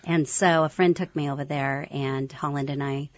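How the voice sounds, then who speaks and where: A Californian woman telling how she met her husband, soft and a little hesitant. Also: sits low, steady tone, normal speed, no room sound. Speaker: interview guest, pastor's wife. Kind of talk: personal storytelling. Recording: muffled, dry radio studio sound.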